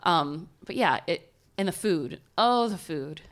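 Podcast co-host: loud, nasal woman, lowish voice, casual and laughing. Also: lively intonation, measured pace. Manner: loud talker, swears casually, laughs often. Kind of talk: casual chat.

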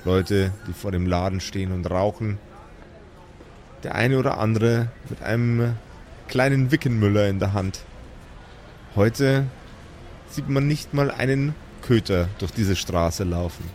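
A man's voice: lowish voice, measured pace, pauses often, a little mellow, slight background noise. A man narrating and ruling a live improvised tabletop game as game master, in a vivid storytelling manner.

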